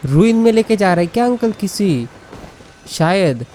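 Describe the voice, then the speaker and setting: A man's voice: high-pitched, lively intonation, rapid-fire, dark tone, a little hiss or hum. A man with an Indian accent giving casual, joking gameplay commentary as a gaming host. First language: Hindi. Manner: informal, joking, mixes Hindi with English. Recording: game music behind commentary.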